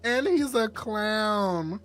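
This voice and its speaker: A streamer reading a visual novel aloud, with expressive narration and sassy, slangy asides. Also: medium pitch, extremely slow.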